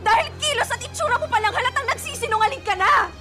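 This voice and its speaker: A woman's voice: very high-pitched, quick pace, pushed and bright, soft background music. An actress playing a hot-tempered, betrayed young pregnant woman in a Filipino radio drama, with a Filipino accent and emotional, accusing delivery. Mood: angry.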